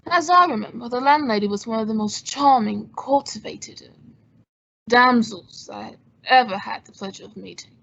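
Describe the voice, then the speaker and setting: A woman playing a suave, wry gentleman sleuth, polished and teasing, in an old-time radio detective drama. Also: on the high side, normal speed, vocal fry, highs cut off. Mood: charming.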